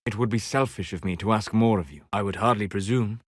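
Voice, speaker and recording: An actor playing a composed, scholarly elven mage in a video game, speaking in a formal, measured, quietly judgmental way. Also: male voice, on the low side, no echo, studio quality, natural voice. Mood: grave, reflective. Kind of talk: video game character dialogue.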